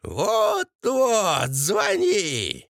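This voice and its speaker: Man putting on a shrill raging old crone. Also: wildly swooping pitch, a bit bright, no room sound, studio quality, exaggerated voice. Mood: bossy, angry. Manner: cackling, scolding, drawn-out rustic speech. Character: vicious triumphant old crone mother-in-law, shrill and raging.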